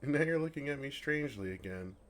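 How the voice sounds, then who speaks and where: A man playing a gentle, affectionate, innocently oblivious dragon in an intimate, earnest ASMR roleplay. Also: mid-range voice, animated, brisk, lightly acted. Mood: puzzled affection. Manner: earnest, softly teasing, innocently puzzled.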